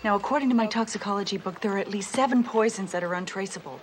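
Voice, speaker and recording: An actress playing a calm, level-headed female police partner who speaks in a matter-of-fact way. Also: mid-range voice, quick pace, slight background noise, natural voice.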